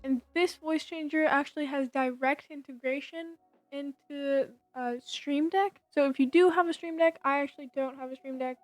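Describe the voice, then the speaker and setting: A young woman with a high voice talks through a software tutorial in an informal, step-by-step way. Music plays behind her as she explains. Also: steady tone, normal speed, no room sound. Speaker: tutorial host.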